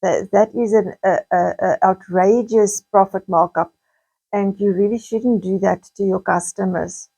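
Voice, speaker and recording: A woman with a South African accent and a slightly croaky voice, chatting casually in a rambling vlog monologue, repeating words and drifting into asides. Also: mid-range voice, steady tone, measured pace, mellow. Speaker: video blogger.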